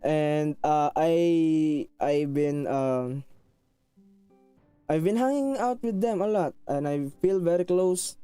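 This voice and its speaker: Filipino man, slightly high, smooth voice, thick accent, chatty and self-conscious streamer. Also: takes it slowly, warm tone, dry recording, soft background music. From Philippines. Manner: rambling, frequent restarts, self-conscious and apologetic; switches into Tagalog phrases. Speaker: VTuber streamer. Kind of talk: casual chat with live viewers.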